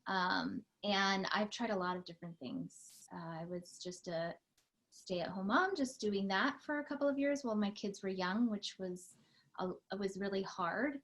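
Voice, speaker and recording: A woman in her thirties, a mother, talking earnestly and chattily about herself on a podcast. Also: medium pitch. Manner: earnest and chatty, warm-spoken with fillers. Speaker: podcast co-host. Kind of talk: casual chat.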